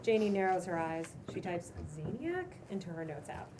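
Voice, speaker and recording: A woman's voice: fairly low pitch, big pitch swings, moderate pace. A woman reading stage directions as scripted narration at a casual table read. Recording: distant room mic, clear background noise.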